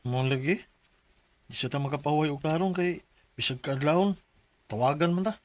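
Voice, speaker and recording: A man's voice: a little high, brisk, no echo, lightly acted. An actor playing a polite, weary young male office employee in a Filipino radio drama, speaking with a Cebuano accent. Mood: deferential. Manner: polite, deferential. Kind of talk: Filipino Bisaya radio drama, letter narration and acted dialogue.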